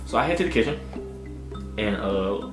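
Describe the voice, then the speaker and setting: A man in his twenties casually telling a personal story in an animated, slangy way, often dropping in little check-in phrases. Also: on the low side, normal speed. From Augusta, United States. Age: 20s. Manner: animated, slangy, punctuated with "you know what I'm saying". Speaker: storytelling vlogger. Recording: roomy echo with music behind. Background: background music.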